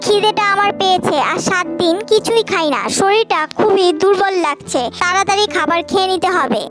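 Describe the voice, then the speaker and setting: A woman's voice: very high-pitched, brisk. A woman voicing a selfish, grumpy male bird in a children's cartoon, with a brusque manner. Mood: sly. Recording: music behind the voice.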